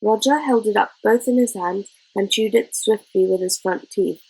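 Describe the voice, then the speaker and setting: A woman reading a children's story aloud in an expressive narrating style. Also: medium pitch, normal speed, slightly rough, low-quality recording. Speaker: storybook reader.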